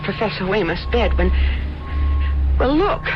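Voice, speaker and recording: A woman's voice: medium pitch, sing-song, measured pace, over music. A woman acting a down-to-earth, skeptical innkeeper's wife in an old-time radio mystery, folksy and scolding. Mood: worried. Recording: muffled old radio broadcast under engine noise.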